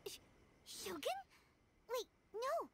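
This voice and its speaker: A woman voicing a small, cheeky, excitable childlike fairy companion in an anime video game, squeaky and exclamatory. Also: slow pace, very noisy, heavily acted. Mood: startled, then impressed. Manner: exclamatory, chirpy. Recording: game music behind the voice. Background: prominent game music.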